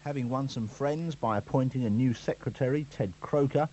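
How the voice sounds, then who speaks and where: A man's voice: medium pitch, moderate pace, warm tone, a little hiss or hum. A male sports reporter reading a scripted year-in-review with a dry, wry delivery. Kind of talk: scripted narration. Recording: dull lo-fi radio recording.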